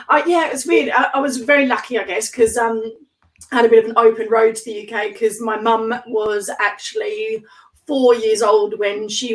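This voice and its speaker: A woman guest on a casual video-call interview, slightly hoarse, chatting with lots of ums and easy laughs. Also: a little high, far from the mic, low-quality recording. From Australia.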